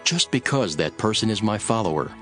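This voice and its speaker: A grave male teacher in a scripture audio drama. His voice is low and he speaks in measured, solemn declarations with calm authority. Faint music runs behind him. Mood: solemn, instructing.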